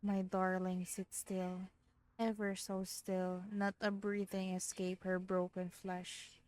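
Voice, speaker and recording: A woman's voice: mid-range voice, completely flat delivery, slow pace, a little mellow, no echo. A woman streamer, reading a visual novel aloud expressively and dramatically, with casual reactions. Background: background music.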